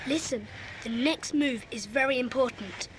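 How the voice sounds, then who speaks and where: Woman playing an eager, high-voiced bossy schoolboy. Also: normal speed, lightly acted. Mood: urgent, commanding. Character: Peter, bossy eager schoolboy leader of child sleuths. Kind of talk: children's audio dramatisation. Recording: hissy old cassette recording.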